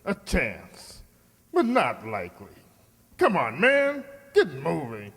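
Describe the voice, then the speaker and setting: A man's voice: a little high, wildly swooping pitch, takes it slowly, fairly bright tone, breathy edge, exaggerated voice. A man playing a cold, scheming crime boss in a radio drama, pompous, menacing and imperious. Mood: urgent, furious. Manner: pompous, menacing, theatrical delivery. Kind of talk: old-time radio drama acting. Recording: long hollow reverb.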